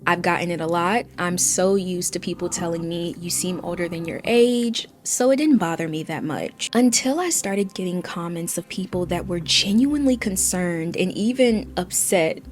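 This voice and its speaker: A woman's voice: on the low side, normal speed, few pauses. A woman, giving casual, candid, conversational commentary. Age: 20s. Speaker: video commentary host.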